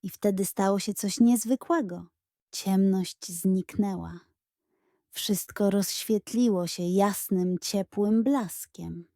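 A woman storyteller reading a scripted children's bedtime story in an expressive, dramatic and suspenseful manner. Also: mid-range voice, slow, no room sound, studio quality.

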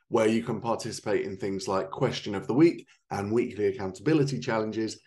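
A man's voice: fairly low pitch, a little mellow. A man in his thirties presenting a podcast in a chatty way from a living room at home. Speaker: podcast host.

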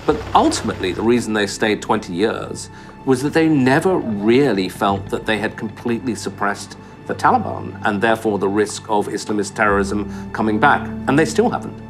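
A man's voice: on the low side, expressive, normal speed, over music. A man in his fifties, an analyst speaking articulately about policy in a documentary interview, in an indoor room.

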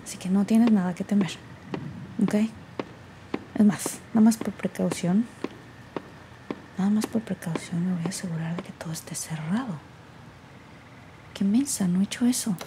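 A woman's voice: mid-range voice, normal speed, pauses often, background noise, close to an everyday voice. An actress playing an anxious young woman alone in an old house, with a Mexican accent, talking nervously to herself in an audio drama. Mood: relieved, self-scolding. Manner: nervous self-talk, muttering, breaking into whispers. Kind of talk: naturalistic audio drama acting, spoken diary.

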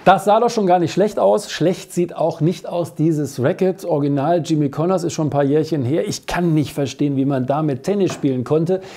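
Middle-aged man, mellow higher voice. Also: normal speed, few pauses. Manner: colloquial, jokey, addresses viewers directly. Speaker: host of a weekly tennis show. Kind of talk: chatty sports web show commentary. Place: small cluttered basement studio.

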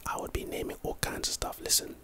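A man's voice: fast talker, pushed and bright. A man with a London accent doing casual ASMR commentary, reading and reacting to trivia in a rambling, playful, slangy way. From London, England.

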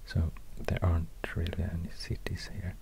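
A man with a Swedish accent speaking in soft ASMR whispers, halting and murmuring as he goes. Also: low voice, completely flat delivery, vocal fry, a little hiss or hum. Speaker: ASMR video host. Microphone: close binaural microphone.

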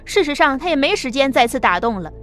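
A woman's voice: sits high, sing-song, quick pace, a bit bright. A woman narrating a science fiction audiobook in a scripted, dramatic storytelling style. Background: background music.

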